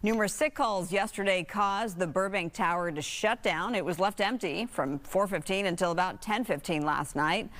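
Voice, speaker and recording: A woman's voice: fairly low pitch, moderate pace, few pauses, a bit bright. An American woman anchoring a live TV news interview, asking questions in a conversational way.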